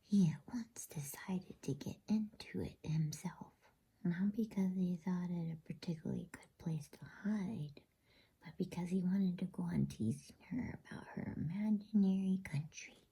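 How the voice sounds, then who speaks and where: A woman reads a bedtime story in a soft unvoiced whisper, hushed and soothing. Her delivery is unhurried and low, and the sound is dark and deeply breathy, with a grainy fry at the edges.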